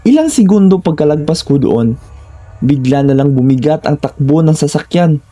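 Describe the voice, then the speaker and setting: Filipino man narrating horror, mellow voice. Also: fairly high pitch, animated, moderate pace, soft background music. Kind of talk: scripted first-person horror narration.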